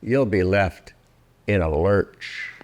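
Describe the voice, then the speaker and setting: An older man performing a children's story aloud in a theatrical, deliberate, welcoming manner. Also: low voice, a little hiss or hum. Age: 60s or older. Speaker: storyteller and host.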